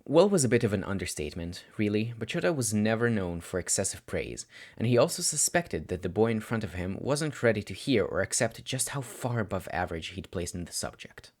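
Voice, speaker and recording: Low-voiced man narrating with lively intonation. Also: brisk, few pauses. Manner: expressive, dramatic phrasing. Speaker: audiobook narrator of fan fiction. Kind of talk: scripted story narration.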